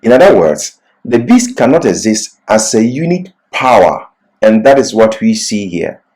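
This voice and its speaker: Low-voiced man teaching scripture, very lively pitch. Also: moderate pace. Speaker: Bible teacher. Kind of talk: expository Bible lecture.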